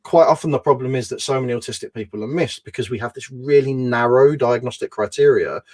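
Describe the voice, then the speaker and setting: A man's voice: mid-range voice, fast talker, a little mellow. A man, an advocate and trainer, speaking earnestly in a conversational interview and explaining at length with lots of "you know" and "kind of".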